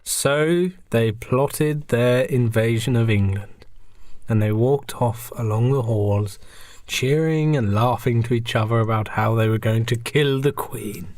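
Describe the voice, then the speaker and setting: A man's voice: medium pitch, unhurried, darkish tone, no echo. Man narrating comedy in a dry-sounding booth. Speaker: podcast host and narrator. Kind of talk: improvised comic storytelling.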